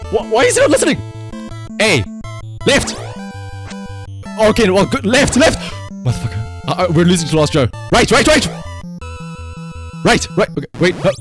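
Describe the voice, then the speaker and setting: Male livestream gamer, slightly high voice, very lively intonation, casual and sweary, excitable. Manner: excitable, emphatic, shouts at the game, swears. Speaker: live streamer. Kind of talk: casual livestream gaming commentary.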